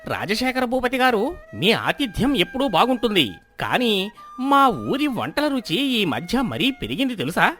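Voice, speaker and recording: Man acting a high-pitched, boastful food-loving landlord. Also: sing-song, moderate pace, a bit bright, soft background music. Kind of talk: Telugu moral story cartoon dialogue.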